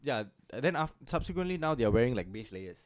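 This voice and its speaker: A man's voice: medium pitch, sing-song, fast, no echo, studio quality. A young man, chiming in with short remarks in a casual podcast chat. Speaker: podcast co-host.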